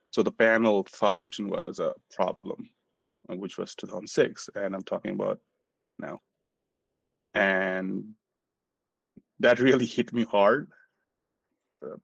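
A man's voice: lowish voice, gravelly, breathy edge, no echo, muffled recording. A man in his forties from Nepal, a physician telling his story in a casual interview, earnest and self-deprecating. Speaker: guest physician.

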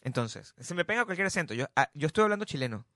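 A man in a casual group chat, animated and jokey, repeating phrases for emphasis. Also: fairly high pitch, fast talker, no room sound, clean studio sound. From Venezuela. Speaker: musician and actor.

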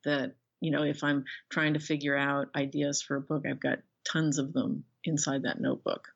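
A woman's voice: deep voice, moderate pace, gravelly, husky. A woman speaking casually as a guest author in an interview, thinking aloud in a rambling, self-effacing way. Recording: dull lo-fi call audio.

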